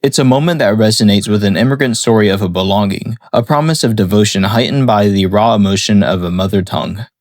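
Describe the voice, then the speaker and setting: A man reading a scripted analysis of a song in a measured, explanatory way. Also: lowish voice, a little mellow, no echo, clean studio sound. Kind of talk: scripted narration.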